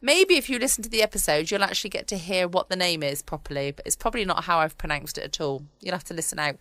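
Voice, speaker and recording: A woman's voice: on the low side, lively intonation, fast, fairly bright tone, dry recording. A woman with a southern English accent, a little run down, chatting casually as a bedtime podcast host. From United Kingdom. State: run down with a chesty cough. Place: at home.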